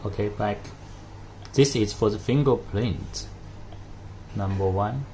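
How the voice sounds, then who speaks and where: A man narrating a hands-on product tutorial in a halting, step-by-step way. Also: fairly low pitch, warm tone. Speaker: product demonstrator. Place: indoors at a desk with devices. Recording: noticeable background noise.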